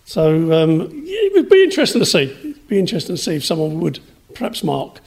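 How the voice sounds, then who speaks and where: A man answering as a writer in a radio interview, in hesitant, measured phrases. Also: fairly high pitch, wildly swooping pitch, vocal fry, a little mellow, husky. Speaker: interview guest, writer and storyteller.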